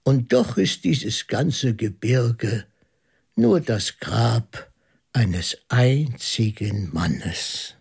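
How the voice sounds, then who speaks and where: An actor playing a shrewd, thoughtful priest detective in a German radio drama, reasoning in a deliberate, ponderous way. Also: male voice, medium pitch, lively intonation, vocal fry, a little mellow, breathy edge, full character voice. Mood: solemn, reflective.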